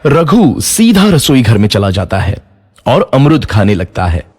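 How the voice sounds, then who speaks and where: A man narrating a children's cartoon story in a lively, expressive way. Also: mid-range voice, measured pace, slightly rough.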